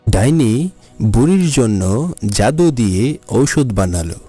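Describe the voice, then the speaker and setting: Lively, mellow male storyteller. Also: mid-range voice, measured pace, soft background music. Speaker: storyteller, narrator. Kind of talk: scripted children's cartoon story narration.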